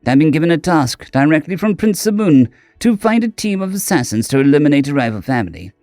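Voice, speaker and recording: A man's voice: fairly high pitch, very animated, a little fast, darkish tone, no room sound, pitched above the performer's own voice. A man voicing a nervous young male alien envoy who stammers anxiously, in a dry recording with faint music behind. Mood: earnest.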